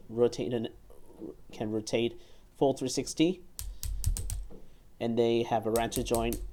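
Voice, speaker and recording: A man in his twenties giving a casual, enthusiastic hands-on review, often halting and repeating words. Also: medium pitch, dark tone, a little hiss or hum. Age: 20s. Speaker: toy reviewer. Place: small bedroom, indoors.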